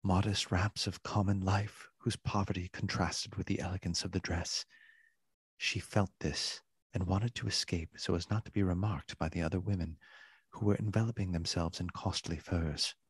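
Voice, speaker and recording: A man's voice: deep voice, fairly even intonation, normal speed, breathy edge, no echo. A man narrating a literary radio play in a formal, theatrical storytelling manner.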